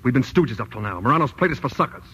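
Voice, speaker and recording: An actor playing a brash, hot-tempered racketeer, curt and bullying, in a 1940s-style radio drama. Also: male voice, medium pitch, expressive, fast talker, a bit bright, breathy edge. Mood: impatient scheming. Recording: dull old radio recording.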